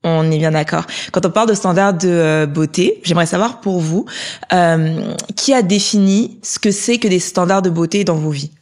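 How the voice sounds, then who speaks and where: A woman's voice: fairly low pitch, moderate pace, darkish tone. A woman hosting a casual podcast chat, playful and dropping English phrases into her talk. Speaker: podcast host.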